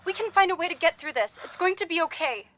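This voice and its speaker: An actress in a radio drama playing a tender, devoted young sweetheart, soothing and pleading. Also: female voice, very high voice, expressive, fast talker, fairly bright tone. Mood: tender, reassuring.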